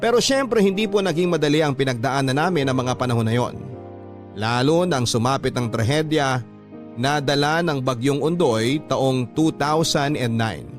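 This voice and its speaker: Man narrating over clear background music. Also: medium pitch. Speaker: radio host reading a listener's letter. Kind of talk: dramatic scripted narration of a life story. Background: music under the voice.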